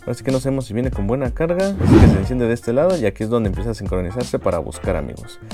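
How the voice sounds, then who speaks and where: A man's voice: medium pitch, expressive, racing, music in the background. A man with a Mexican Spanish accent casually unboxing and explaining motorcycle gear, chatty and full of slang and filler phrases. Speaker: moto vlogger.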